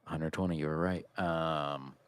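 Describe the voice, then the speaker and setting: A man with a deep voice talks casually as a podcast co-host. The sound is dry and close to the microphone. He rambles in a halting way, letting thoughts trail off and picking them up again. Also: steady tone, smooth, no room sound. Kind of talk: casual unscripted TV review chat.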